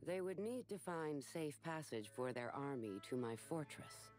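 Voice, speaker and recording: An actor playing a solemn narrator recounting a war campaign in a video game, in measured, grave delivery. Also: male voice, on the high side, animated, a little mellow, faint music behind. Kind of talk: scripted dramatic narration for a game.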